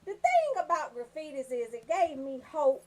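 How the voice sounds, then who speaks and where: High-voiced, brash Southern US woman. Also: moderate pace. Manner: brash, animated, profane. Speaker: radio show host. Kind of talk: comedic storytelling on a casual talk show.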